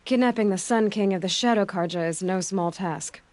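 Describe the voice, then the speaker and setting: An actress playing a capable, wary young huntress in a video game, with a dry, questioning manner. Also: female voice, medium pitch, moderate pace, darkish tone, natural voice. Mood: dry skepticism. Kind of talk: video game dramatic acting. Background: faint music.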